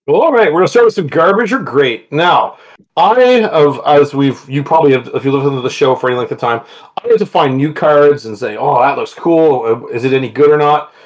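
Chatty podcast host, fairly high voice. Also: male voice, fairly quick, low-quality recording. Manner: chatty, laughs often, enthusiastic. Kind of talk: casual podcast chat. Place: home, talking online.

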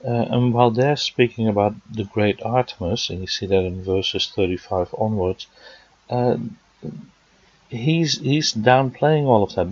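A man with a Dutch accent preaches in a low, slightly husky voice. He works through scripture passage by passage and pauses with fillers along the way. The recording sounds dry and dull, with a lo-fi quality. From Netherlands. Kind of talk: sermon teaching.

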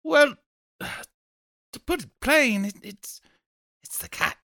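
A man's voice: very high-pitched, animated, slow pace, bright, forceful tone, no echo, studio quality, exaggerated voice. A man playing a nosy, chatty, stubborn off-duty policeman neighbor in a table read of an old radio horror play, with folksy, blustery speech. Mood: confiding, sheepish.

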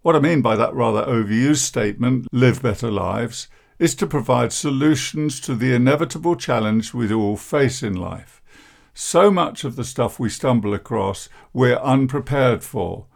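A man in his sixties, a therapist hosting his own podcast, talking in a deep, croaky, downbeat and self-deprecating way. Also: expressive, normal speed. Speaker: podcast host, therapist and coach. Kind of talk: reflective podcast monologue.

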